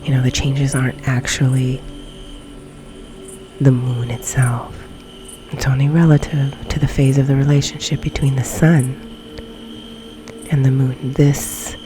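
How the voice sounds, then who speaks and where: A woman leading a guided meditation in a soft, breathy, often near-whispered ASMR delivery, with long pauses between phrases. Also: rumbling low, level tone, noisy background. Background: background music.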